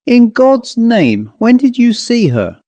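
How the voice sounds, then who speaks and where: A man's voice: very high voice, wildly swooping pitch, slow pace, mellow, no room sound, voice pushed up, exaggerated voice. A man voicing a grieving, unsettled father with a desperate, pleading manner, in a ghost story. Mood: astonished. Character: grieving, unsettled father, eager and desperate, old friend of narrator.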